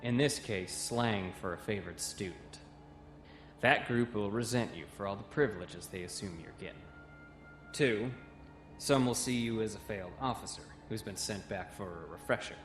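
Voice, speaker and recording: A man's voice: lowish voice, moderate pace, natural voice. An actor playing a wary young male cadet who explains things in an audio comic drama. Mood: wry, explaining. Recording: roomy echo, music behind.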